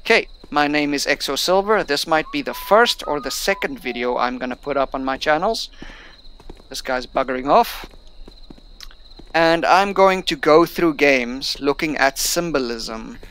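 A man's voice: mid-range voice, a bit bright. A young man who is not a native English speaker, reading tutorial text aloud and chatting casually while playing a game. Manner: reads on-screen tutorial text aloud, then chats. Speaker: gameplay streamer. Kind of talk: casual let's-play commentary.

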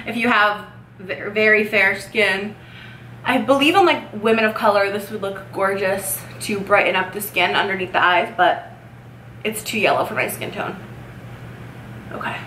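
A woman's voice: medium pitch, bright, forceful tone. A young woman in her twenties rambling casually while doing her makeup for a vlog in her bedroom, filling her talk with "like". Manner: rambling, filler-heavy, upbeat chatter while doing makeup. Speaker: vlogger. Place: bedroom, indoors. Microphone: camera mic at desk distance. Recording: echoey room with faint noise.

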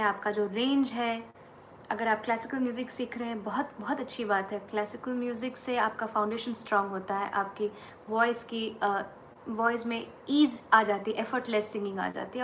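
A woman's voice: fairly high pitch, fairly bright tone, slight background noise. A woman in her thirties with an Indian accent, a singer giving thoughtful, earnest advice on practice, talking casually at a webcam in a small room. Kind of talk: casual talk mixing Hindi and English. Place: small indoor room against a plain wall.

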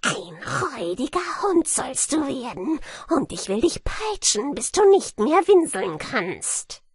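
A woman voicing a furious, cruel male sorcerer in a fairy-tale audiobook, shouting menacing threats. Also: high-pitched, big pitch swings, slow pace, breathy edge, dry recording, clean studio sound, pitched above the performer's own voice, full character voice. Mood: raging, threatening.

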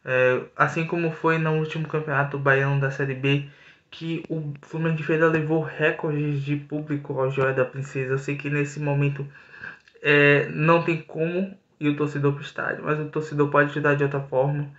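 A young man talks earnestly through his analysis of a match, often pausing and restarting his sentences. His voice is bright and a little high, recorded on a webcam in a small room with a noticeable echo. The audio sounds slightly dull. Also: little pitch movement. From Brazil. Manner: earnest, frequent hesitations and restarts. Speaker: football commentator. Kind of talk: solo football analysis to camera.

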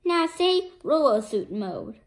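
A woman's voice: high-pitched, very animated, takes it slowly, full character voice. A woman voicing a helpful cartoon cat who explains things eagerly in a children's comic. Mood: instructing.

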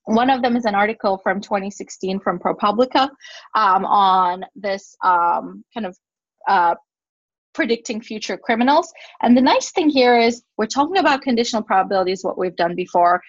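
A woman's voice: mid-range voice, lively intonation, moderate pace, no echo, dull audio. A woman whose first language is Turkish, giving a university teaching talk over a video call and answering questions, explaining with fillers and restarts. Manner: explanatory, fillers and self-corrections. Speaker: guest speaker, professor. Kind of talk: webinar talk and Q&A.

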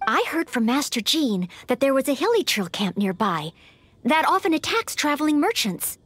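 A woman's voice: high voice, sing-song, normal speed, a bit bright, dry recording. A woman voicing an earnest, polite young female knight-in-training in an anime-style video game. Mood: hopeful.

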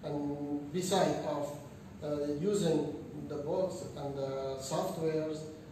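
A man's voice: a little high, steady tone, slow, noisy background. Earnest foreign-man, echoey lobby. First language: Persian. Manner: hesitant, many fillers, earnest. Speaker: international student. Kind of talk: interview answers. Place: seated in a library lobby, indoors. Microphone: far camera. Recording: echoey room, far from mic.

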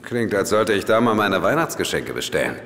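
A man's voice: on the low side, sing-song, fairly quick, a bit bright. An actor playing a dry, sarcastic male detective in an audio drama. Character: wry, sarcastic male British police detective. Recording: long hall reverb.

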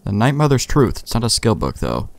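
A man's voice: mid-range voice, sing-song, brisk, gravelly, a crowd in the background. A man giving casual, wry let's play commentary, joking and swearing.

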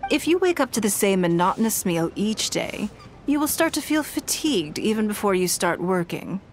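A woman voicing a poised, elegant noblewoman leader in a video game, composed, gracious and measured. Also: medium pitch, big pitch swings, natural voice. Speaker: game character.

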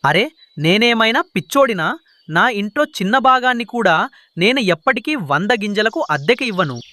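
A man playing a sharp, pushy cartoon crow. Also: high voice, animated, normal speed, no echo. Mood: demanding. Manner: pushy, scheming, repeats words impatiently. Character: greedy male crow, a bullying swindling landlord. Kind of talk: children's cartoon voice acting.

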